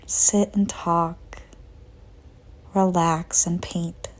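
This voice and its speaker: A woman narrating a guided visualization in a soft, breathy voice that often nears a whisper, calm and gentle with long pauses. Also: on the low side. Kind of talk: slow guided visualization, soothing scripted narration.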